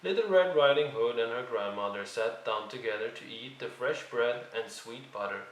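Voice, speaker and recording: Bright-voiced male storyteller, echoey room. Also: medium pitch, normal speed. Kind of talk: expressive read-along children's story narration. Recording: roomy, distant microphone.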